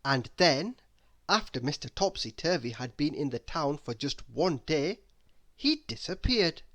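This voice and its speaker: A man reading a children's story aloud in an expressive, deliberate way. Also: fairly high pitch. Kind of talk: expressive scripted narration for children.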